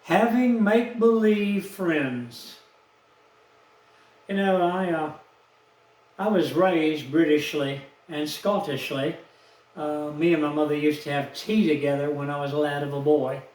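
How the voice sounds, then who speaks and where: A man, telling a rambling personal story in a slow, aggrieved, folksy way. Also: a little high, a little mellow, far from the mic. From Texas, USA. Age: 70s. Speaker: vlogger. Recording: echoey room, off-mic.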